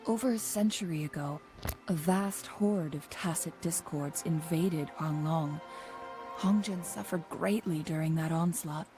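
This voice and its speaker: A woman voicing a composed, knowledgeable young guide in a fantasy video game, speaking in a measured, formal, thoughtful way. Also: on the low side, dark tone, natural voice. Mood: solemn explaining. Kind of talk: scripted video game voice acting.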